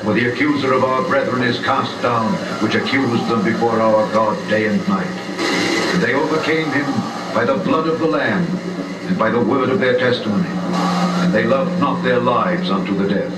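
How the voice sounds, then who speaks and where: A man narrating a puppet show as a dramatic, solemn scripture reading, with theatrical, drawn-out emphasis, recorded live in a theater. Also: mid-range voice, heavy background noise. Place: small indoor theater, live performance. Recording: distant echoey live theater recording, loud music. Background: loud guitar and instrumental music.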